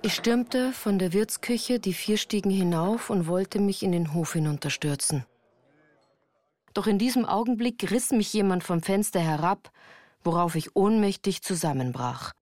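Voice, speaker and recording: A woman's voice: medium pitch, normal speed, darkish tone. A woman reading scripted first-person narration for a radio play. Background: music.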